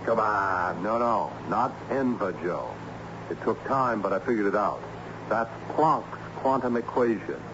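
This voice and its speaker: A serious male teacher in an old radio play, lowish voice, insistent, muffled noisy recording. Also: measured pace. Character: earnest, learned male schoolteacher, insistent and urgent. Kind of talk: old-time radio drama acting.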